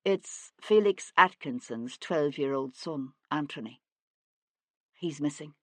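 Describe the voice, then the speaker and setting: A woman's voice: sits low, lively intonation, slow, fairly bright tone, dry recording, exaggerated voice. A woman voicing a sympathetic man in an audiobook, a plain-spoken friend who is terse and subdued. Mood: sombre sympathy.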